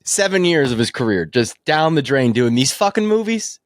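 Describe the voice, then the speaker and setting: A man's voice: mid-range voice, big pitch swings, normal speed, no echo, studio quality. A man chatting as a movie podcast panelist, opinionated and slangy, with some swearing. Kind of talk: casual movie podcast chat.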